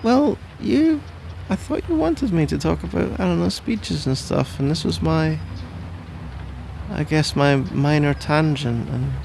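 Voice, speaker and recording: A man's voice: a little high, lively intonation, unhurried, gravelly, darkish tone, slight background noise. A man playing a sleepy, cuddly husband in an intimate ASMR roleplay, drowsy, affectionate and rambling, with playful melodrama. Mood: playfully defensive.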